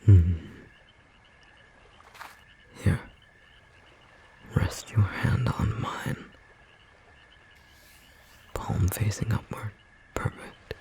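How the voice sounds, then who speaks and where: A performer playing a tender, devoted magical bookstore clerk in an intimate ASMR romance roleplay, speaking in a gentle, slightly rough, breathy whisper with long pauses. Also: deep voice, fairly even intonation, heavily acted. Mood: tender, adoring.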